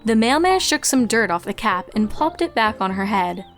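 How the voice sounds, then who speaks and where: High-voiced woman narrating a story. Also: big pitch swings, normal speed, soft background music. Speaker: fan fiction reader and narrator.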